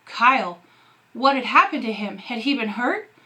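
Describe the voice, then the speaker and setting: A woman teacher reading a novel aloud in an expressive narrating style. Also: on the high side, moderate pace.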